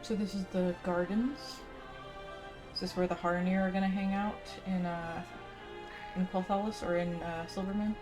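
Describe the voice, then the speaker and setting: A woman's voice: lowish voice, fairly even intonation, normal speed, rough recording. Woman gamer commentating over game music. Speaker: gaming video host. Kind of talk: casual game playthrough commentary, reading quest text aloud.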